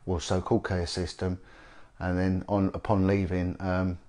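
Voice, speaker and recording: Halting man with a cold. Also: low-pitched, completely flat delivery, a little mellow. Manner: halting, many fillers and restarts. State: has a cold. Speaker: interviewer, former police detective. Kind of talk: sit-down interview. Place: living room, indoors. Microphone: lapel mic.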